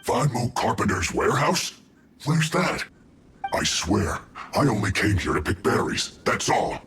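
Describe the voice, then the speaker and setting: An actor playing a bullied, indignant soldier in a video game, pleading in an exasperated, theatrical way. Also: male voice, medium pitch, very animated, unhurried, a bit bright, a little hiss or hum. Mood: defensive, pleading.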